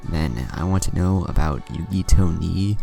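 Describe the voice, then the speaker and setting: A man's voice: deep voice, moderate pace, creaky, warm tone. A man voicing a confident, blunt young male ninja hero in a story, with music behind. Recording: music under the voice.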